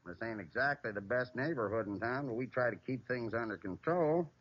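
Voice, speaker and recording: An actor with an American accent playing a plainspoken, tough manager of a rough bungalow court in an old-time radio drama. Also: male voice, medium pitch, lively intonation, brisk. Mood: plainspoken, obliging. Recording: muffled vintage radio recording.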